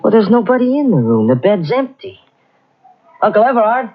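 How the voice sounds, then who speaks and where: An actor playing a young husband haunted by a family curse, talking tensely and urgently. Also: male voice, high voice, sing-song, moderate pace. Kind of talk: 1940s radio acting. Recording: dull old radio transcription.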